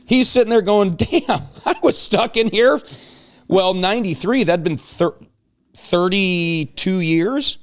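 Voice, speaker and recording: A man's voice: sits high, takes it slowly. A man hosting a casual talk show, chatting with guests in a playful, joking way. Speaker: show host.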